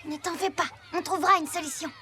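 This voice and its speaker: A woman voicing a clever, helpful young child sidekick in an animated cartoon, with a confident, playful manner. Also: very high voice, fast talker. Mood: reassuring, scheming. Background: music.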